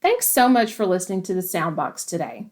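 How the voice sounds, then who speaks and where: A woman's voice: on the low side, big pitch swings, brisk, a little mellow. A woman hosting a podcast, giving scripted commentary addressed to listeners. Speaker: podcast host.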